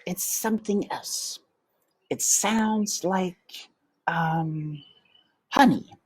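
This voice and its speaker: A woman voicing a small, dreamy young male mouse in a storybook, soft and hesitant. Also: lowish voice, very slow, slightly rough, pitched above the performer's own voice, full character voice. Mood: dreamy, timid.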